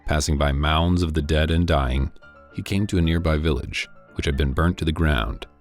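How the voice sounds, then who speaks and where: A man reading a classic novel aloud in scripted narration, with a measured, dry delivery. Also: rumbling low, soft background music. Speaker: audiobook reader.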